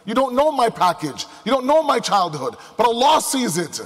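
High-voiced male preacher in a reverberant hall. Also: big pitch swings, moderate pace, fairly bright tone. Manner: emphatic, with rhetorical questions and repetition. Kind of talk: sermon to a live congregation. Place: large indoor hall before a crowd. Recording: echoey hall with long reverb.

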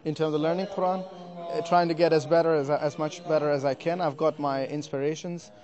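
Man, earnest and hesitant, slightly high voice, crowd chatter behind. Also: fairly even intonation, brisk, highs cut off. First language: not Arabic. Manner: earnest, hesitant with many ums and repeats. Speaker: competition participant. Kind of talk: vox-pop interview answers.

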